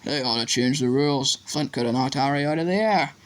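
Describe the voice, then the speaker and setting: A man's voice: mid-range voice, fairly quick, lightly acted. A man voicing a big, kindly, rustic gamekeeper with folksy, unpolished speech in a storybook. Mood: worried.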